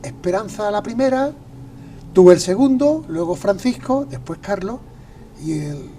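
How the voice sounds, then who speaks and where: A man's voice: high voice, animated, measured pace, warm tone, slight background noise. A male TV host interviewing a guest, asking short prompting questions and giving encouraging replies. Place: television studio. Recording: distant mic, music underneath.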